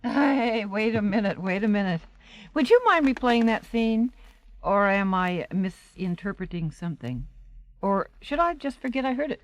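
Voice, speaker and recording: Female actor as blunt protective friend, American, wisecracking, mid-pitched, animated, radio drama. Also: moderate pace, no echo, lightly acted. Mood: indignant, tart. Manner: tart, wry, blunt. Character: wisecracking, worldly stage woman, protective friend. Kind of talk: 1980s radio drama acting.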